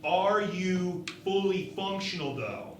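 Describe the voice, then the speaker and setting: A man performs a brash, dopey young starship ensign for a comic radio play. The voice is high and animated, drawn out at a slow pace, in an echoey room. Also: recorded from a distance. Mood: eager, suggestive. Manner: eager, goofy. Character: brash, dim, over-eager young male starship ensign. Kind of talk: comedic parody radio play acting. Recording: echoey room, off-mic, faint noise.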